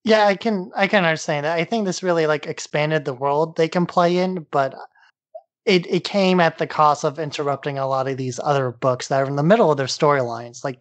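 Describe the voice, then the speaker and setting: A man's voice: on the high side, dry recording. Casual male podcast co-host, dry sound.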